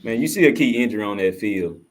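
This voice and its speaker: A man, a guest on a casual sports chat, starting hesitantly and building up to excited hype. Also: lowish voice, quick pace. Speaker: guest panelist.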